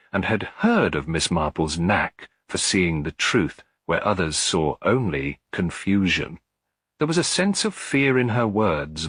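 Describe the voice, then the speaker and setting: A man narrates a classic detective story in a deep, husky voice, with lively rises and falls in pitch. The reading is scripted storytelling, recorded very dry and close. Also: no room sound. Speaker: audiobook narrator.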